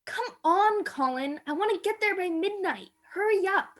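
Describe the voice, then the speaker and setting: Female doing pushy teen girl in a radio play, super high voice, confident, impatient. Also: animated, moderate pace, very noisy. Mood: eager bossiness. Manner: urging, confident. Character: bold, bossy, impatient teenage sister. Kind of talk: amateur radio play acting.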